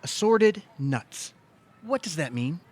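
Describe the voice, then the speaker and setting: An actor playing an obsessive, aggrieved younger brother in a comedic audio play, exasperated and insistent. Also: male voice, fairly high pitch, big pitch swings, slow pace, exaggerated voice. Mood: insistent, agitated. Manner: exasperated, insistent, leading questions like a cross-examination. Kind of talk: comedic audio theater dialogue.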